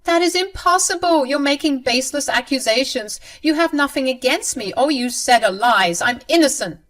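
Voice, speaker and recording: A woman voicing a scheming, self-pitying older mother-in-law in a high, shrill, pleading voice. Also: lively intonation, normal speed, fairly bright tone. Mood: indignant.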